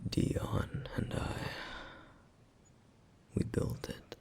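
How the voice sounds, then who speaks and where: A man playing a brooding, protective flame demon in an ASMR roleplay, speaking in a grave, breathy, gravelly near-whisper with deliberate pauses. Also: a little mellow. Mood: wistful, subdued. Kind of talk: ASMR roleplay, intimate scripted monologue.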